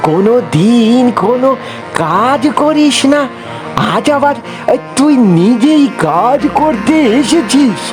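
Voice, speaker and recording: Cartoon casting: performer as grumbling, sly old village uncle, slow drawl, lots of groaning, music underneath. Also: mid-range voice, lively intonation. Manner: drawn-out, groaning exclamations and repeated words. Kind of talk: Bangla cartoon voice acting.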